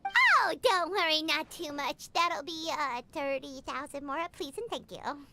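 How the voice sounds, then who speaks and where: A woman voicing a tiny, excitable, childlike fairy girl companion in a video game, cheeky and talking about herself in the third person. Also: sits high, a little slow, heavy background noise, exaggerated voice. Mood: sly.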